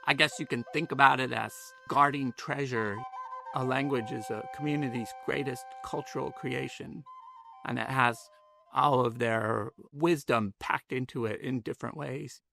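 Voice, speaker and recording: A man's voice: mid-range voice, steady tone, normal speed, creaky, pushed and bright, soft background music. A man who develops a school curriculum, giving thoughtful interview answers with casual fillers.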